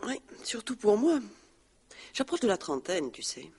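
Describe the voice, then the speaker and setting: A woman's voice: mid-range voice, very animated, measured pace, darkish tone, breathy edge, full character voice. An actress playing a lonely young woman in her late twenties, in a 1960s radio drama. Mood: subdued. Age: late 20s.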